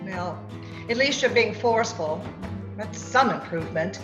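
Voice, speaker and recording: A woman's voice: medium pitch, expressive, measured pace, over loud music, natural voice. A woman playing a haughty, exasperated fiancee in old-time radio drama style, theatrical and insistent, on dull video-call audio. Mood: sulky.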